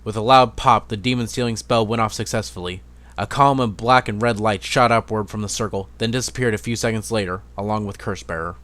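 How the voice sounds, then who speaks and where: A man with a fairly low, smooth voice reads a fantasy story aloud as an amateur narrator. It is a scripted dramatic reading, recorded dry with no room sound. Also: fairly even intonation, quick pace, fairly bright tone, dry recording.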